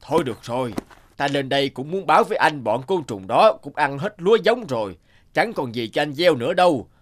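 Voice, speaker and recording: Cartoon villain, wealthy landlord, greedy, smug, drawling, lively intonation. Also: male voice, a little high, a little fast, slight background noise. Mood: spiteful. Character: sly, greedy, smug rich male landlord. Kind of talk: animated folk tale villain acting.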